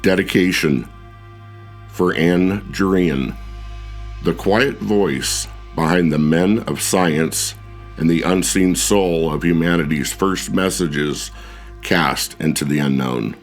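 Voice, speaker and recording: Casting: very low-voiced man, measured audiobook narration. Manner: measured, even reading. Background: faint music.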